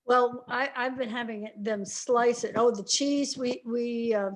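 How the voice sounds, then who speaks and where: A woman's voice: on the high side, steady tone, measured pace. A woman in the audience of a video call, asking questions in a hesitant, chatty way. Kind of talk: casual chat.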